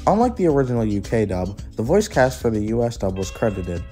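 A man's voice: fairly low pitch, sing-song, brisk, dark tone, soft background music. A man co-narrating a scripted video essay as a guest.

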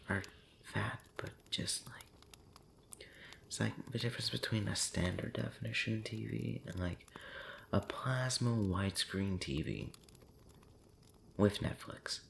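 A man speaking softly and breathily, often whispering, in an intimate ASMR roleplay monologue, rambling hesitantly with long pauses. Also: on the low side, creaky, a little hiss or hum. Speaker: audio roleplay voice actor.

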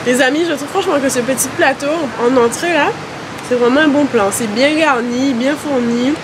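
A woman's voice: high-pitched, moderate pace, background noise. A woman chatting informally and emphatically as co-host of a food vlog. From Guadeloupe. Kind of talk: casual vlog chat, tasting food.

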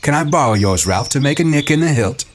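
A man voicing a proud, excitable boy who leads hunters in a storybook, boastful and eager. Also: on the high side, fast, slight background noise, pitched above the performer's own voice, close to an everyday voice. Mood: proud, giddy.

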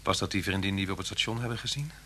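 An actor playing an outwardly calm, solicitous murder suspect in a Dutch radio play, courteous and softly insistent. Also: male voice, low-pitched, rapid-fire. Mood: calm, attentive. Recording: humming, noisy old radio recording.

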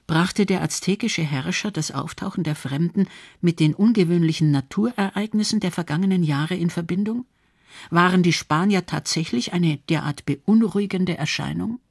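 A woman's voice: fairly low pitch, moderate pace, vocal fry, darkish tone, slightly rough, dry recording, clean studio sound. A woman narrating a scripted radio documentary.

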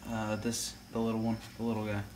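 A man casually walking through making food in a home kitchen, relaxed and correcting himself as he goes. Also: fairly low pitch, brisk, background noise. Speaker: home cook. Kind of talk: casual cooking demonstration. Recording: clear music behind the voice. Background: music.

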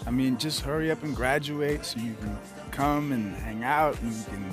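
A man's voice: medium pitch, normal speed, close to an everyday voice. Young American male rookie athlete played by a male actor, easygoing, natural game dialogue, music underneath. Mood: relaxed. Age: young adult. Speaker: actor in a video game cutscene.

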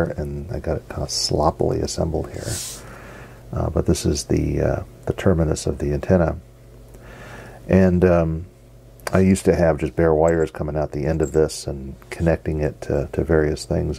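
A middle-aged man, giving a casual hands-on demonstration in a halting, unscripted way. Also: low voice, gravelly, warm tone, slightly rough. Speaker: radio hobbyist presenter. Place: basement radio workshop, indoors. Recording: noisy home basement recording.

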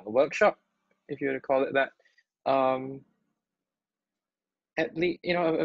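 A man hosting a live online workshop over a video call, talking haltingly with many restarts. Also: medium pitch, vocal fry, darkish tone, no room sound. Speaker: workshop host. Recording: dull lo-fi call audio.

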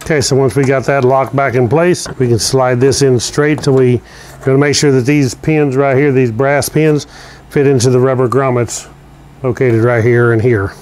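A man's voice: medium pitch, steady tone, normal speed, darkish tone, a little hiss or hum. A man giving a casual, practical how-to walkthrough while working with his hands in a garage, heard through a camera mic. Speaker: installer demonstrating a product. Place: garage, indoors.

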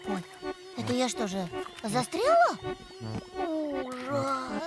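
A woman's voice: high voice, sing-song, takes it slowly, over music, heavily acted. A woman voicing an envious, impulsive but good-hearted little monster girl in a children's cartoon, exclaiming and whining. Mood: sulky, self-pitying. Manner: exclamatory, whiny when hurt. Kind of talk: animated children's cartoon acting.

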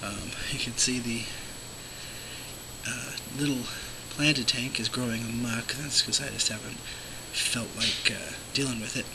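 A man hosting a home aquarium vlog, his voice hoarse and scratchy from illness and often fading to a whisper. He rambles in a relaxed way with plenty of fillers. Steady background noise sits under the recording. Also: medium pitch, a little slow. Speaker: aquarium vlogger, show host. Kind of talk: casual talk to camera.

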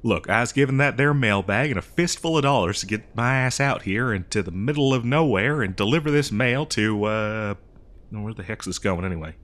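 A man's voice: lowish voice, animated, brisk, fairly bright tone. Guy doing a folksy old west drifter, exasperated n rambly, voice swings up and down, comedy audio roleplay. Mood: sheepish, rambling. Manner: folksy, rambling, hesitant improvised delivery. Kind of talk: improvised comedic audio roleplay addressed to the listener.

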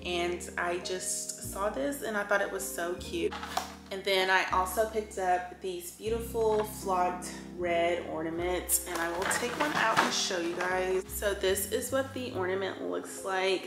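A woman with a sore throat chatting through a home decor haul in a chatty, enthusiastic way, with lots of fillers. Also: lowish voice. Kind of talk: casual haul chat. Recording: roomy echo with background music.

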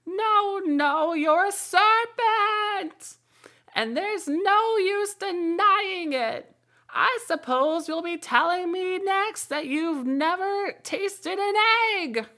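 A woman voicing a shrill, suspicious mother pigeon who scolds and shrieks in contempt. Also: lively intonation, takes it slowly, bright, forceful tone, full character voice. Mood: shrill scolding.

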